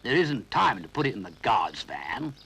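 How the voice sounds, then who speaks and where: A man's voice: on the low side, sing-song, normal speed, sharp tone, slight background noise, full character voice. A man voicing a grumpy, fussy brownie who speaks crossly but means well. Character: brisk grumpy elderly brownie, kindly underneath.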